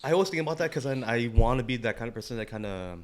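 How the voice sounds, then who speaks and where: A man's voice: medium pitch, lively intonation, racing. A man chatting casually as a podcast co-host, with slangy, bantering talk. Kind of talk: casual joking chat.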